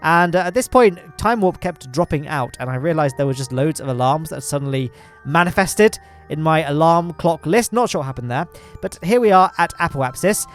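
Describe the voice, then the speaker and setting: A man's voice: a little high, lively intonation, moderate pace, faint music behind. Nasal, congested English man rambling over gameplay. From England. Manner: rambling, self-deprecating, lots of fillers and restarts. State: congested from hay fever. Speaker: gaming channel host. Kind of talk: chatty gameplay commentary.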